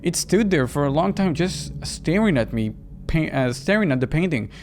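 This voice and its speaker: A man's voice: fairly high pitch, lively intonation, moderate pace, a little hiss or hum, lightly acted. A man reading the part of a wary, plain-spoken young woman narrating a horror visual novel. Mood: uneasy. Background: music behind the voice.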